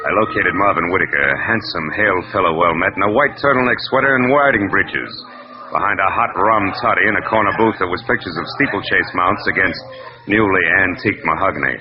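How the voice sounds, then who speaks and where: An actor playing a wry, tough, world-weary private detective in 1940s radio drama, sardonic and wisecracking in clipped lines. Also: male voice, sits low, fast, music in the background, lightly acted. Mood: wry narrating. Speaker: lead actor and narrator. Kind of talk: 1940s hardboiled radio narration and acting. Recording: muffled vintage radio recording.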